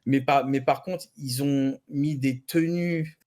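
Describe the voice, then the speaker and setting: A man's voice: mid-range voice, steady tone, slow, a little mellow. A man giving news as a columnist in a rambling, slangy, jokey way. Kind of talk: casual chat.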